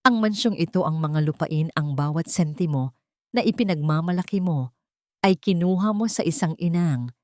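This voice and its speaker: A woman voicing a resolute, bitter grown son who speaks with quiet finality in an audio story. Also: low voice, expressive, normal speed, no echo, clean studio sound. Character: bitter, resolute adult son confronting his mother.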